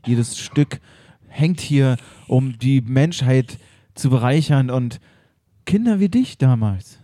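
A man's voice: medium pitch, lively intonation, slow pace, warm tone, no echo. A man voicing an earnest male museum curator who speaks a little preachily.

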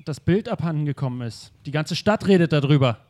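A man's voice: fairly high pitch, lively intonation, a little fast, a little mellow, lightly acted. A man voicing a rich, eccentric male art collector who talks in an indignant, self-assured way.

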